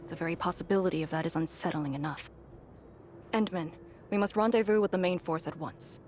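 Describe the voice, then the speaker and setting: A woman voicing a solemn, wry young warrior in a video game, measured and reflective, often dropping to a breathy near-whisper. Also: on the low side, a little mellow, a little hiss or hum, close to an everyday voice. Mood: serious, pondering.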